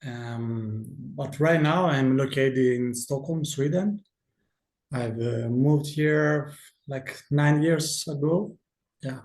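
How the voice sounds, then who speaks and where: A man's voice: mid-range voice, slow pace, darkish tone, roomy sound. A man from Greece with a Greek accent, a guest in a casual video-call interview, talking hesitantly with many fillers.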